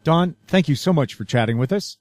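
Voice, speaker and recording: A man's voice: a little high, expressive, brisk, a little mellow, no echo, studio quality. A man hosting a radio interview in a polished broadcast style. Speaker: radio show host, interviewer.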